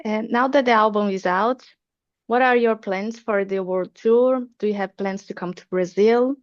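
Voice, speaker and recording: A woman's voice: medium pitch, normal speed, no echo, dull audio. A woman interviewer reading her questions carefully and evenly.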